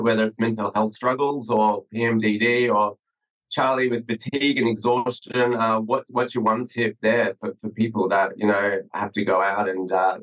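A man's voice: lowish voice, a bit bright. Australian man with muffled call audio. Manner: halting, many fillers and restarts. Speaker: podcast host. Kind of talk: interview by video call.

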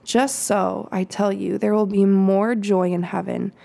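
A woman's voice: medium pitch, fairly even intonation, unhurried, vocal fry, mellow. A woman, a children's pastor, talking casually on a podcast and reading scripture aloud, with ums and laughing asides. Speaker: kids' pastor. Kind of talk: casual podcast Bible study.

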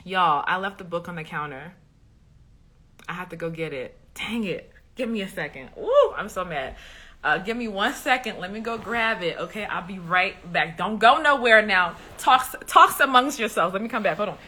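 A woman's voice: mid-range voice, animated, moderate pace, pushed and bright. A woman from Liberia hosting a live podcast stream, chatting casually and upbeat with her viewers, with lots of ums. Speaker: podcast host and coach.

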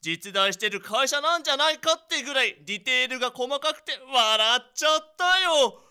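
A man's voice: extremely high pitch, lively intonation, normal speed, pushed and bright, smooth, heavily acted. A man playing an oblivious, pompous company president in a comedic radio drama, with a jovial theatrical manner. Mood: amused, oblivious. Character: oblivious cheerful male company president, pompous when offended.

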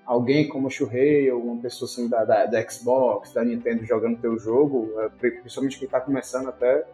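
A man's voice: mid-range voice, steady tone, fairly quick, dark tone. A man, musing on a podcast in a hedging way and trailing off with filler tags. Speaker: podcast guest. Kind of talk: casual chat. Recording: echoey room with faint music.

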